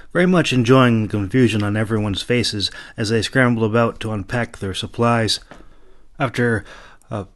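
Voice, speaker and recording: A man reads an epic fantasy story aloud. His pitch sits a little low and his delivery is very slow. The storytelling is deliberate and dramatic. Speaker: author reading own audiobook. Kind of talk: dramatic scripted fantasy narration.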